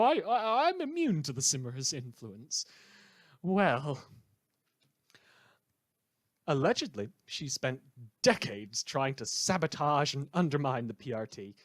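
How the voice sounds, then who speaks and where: A man playing a bitter, unhinged radio host in a fan audio drama, rambling sarcastically with halting stammers. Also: medium pitch, dry recording, natural voice. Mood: bitter, sardonic. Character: bitter, unhinged male radio host, sardonic renegade cape.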